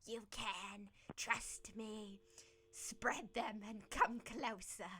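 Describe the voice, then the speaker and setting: A woman voicing a loving talking toe fungus in a silly comic story, soft and doting. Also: medium pitch, fairly even intonation, unhurried, a little hiss or hum. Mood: coaxing.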